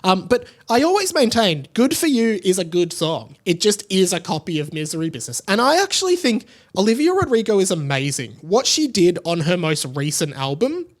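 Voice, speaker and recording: Male podcast host, young Australian, voice a little high, effusive and conversational. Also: sing-song, brisk, hardly any pauses. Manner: enthusiastic, effusive. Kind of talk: casual solo podcast chat.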